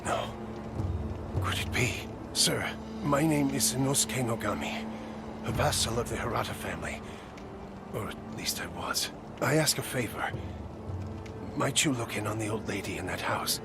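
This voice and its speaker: A man playing a weary, polite fallen samurai vassal in a video game, halting and deferential. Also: medium pitch, over loud music, natural voice. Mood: anxious, pleading. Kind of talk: video game cutscene acting.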